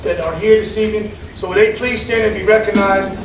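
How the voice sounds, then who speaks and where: A man with a loud, folksy way of talking, chairing a meeting and making announcements to a crowd in a community center hall. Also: high voice, steady tone, a little fast, background noise. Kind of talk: public address to a meeting. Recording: echoey hall from far off.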